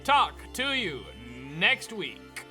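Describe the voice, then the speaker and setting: A man's voice: sits high, very animated, very slow, over music, exaggerated voice. A man playing a put-upon podcast narrator, delivering scripted narration. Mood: announcing. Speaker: narrator reading credits.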